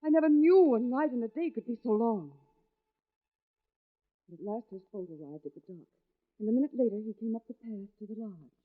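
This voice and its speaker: Anxious wife in tinny vintage radio suspense. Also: female voice, fairly high pitch, animated, a little fast, long pauses. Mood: brooding, uneasy. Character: anxious, devoted young wife narrating a suspense tale. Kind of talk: 1940s radio acting. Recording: vintage radio, phone-like band, music behind.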